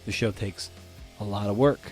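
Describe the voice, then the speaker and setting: A man's voice: on the low side, fairly even intonation, normal speed, a little mellow, soft background music. A man hosting a podcast, speaking conversationally and informally straight to his listeners. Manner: informal, addresses listeners directly. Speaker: podcast host and editor.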